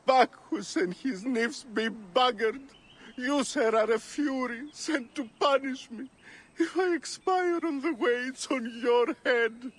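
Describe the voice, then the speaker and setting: An actor playing a pompous, hungover Greek drunkard who groans and complains floridly in a video game. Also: male voice, extremely high pitch, slow, slight background noise, poor audio. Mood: aggrieved, groaning. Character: hungover, pompous, theatrical drunkard Greek man, grumbling wit.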